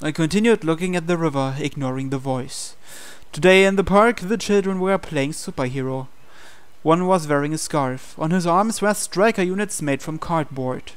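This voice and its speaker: Clear, higher-voiced man narrating dramatically. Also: moderate pace. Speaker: playthrough narrator. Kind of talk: dramatic scripted narration, reading visual novel text aloud.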